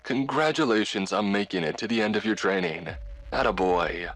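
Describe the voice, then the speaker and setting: A man voicing a cheerful 1950s hotel training-film host with a transatlantic accent and a practiced, upbeat, oddly pausing delivery. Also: on the low side, a bit bright, pitched above the performer's own voice, natural voice. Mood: chipper, rehearsed. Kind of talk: 1950s instructional film presenting.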